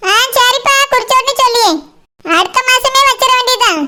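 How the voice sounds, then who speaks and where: A very high, squeaky cartoon village mother, acted by a woman, rattling along at a quick pace. She is good-natured and chatty, forever organizing the family in a Kanyakumari Tamil accent. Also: bright, forceful tone, exaggerated voice. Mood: welcoming. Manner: chatty, instructing. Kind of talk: village cartoon drama acting.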